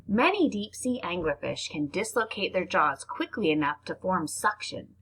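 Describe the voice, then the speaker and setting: A woman reads nonfiction aloud in an expressive, informal way, like reading a book to children. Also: fairly low pitch, normal speed, slight background noise, rough recording. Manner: expressive, informal reactions between passages. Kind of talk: read-aloud narration with casual spoken asides.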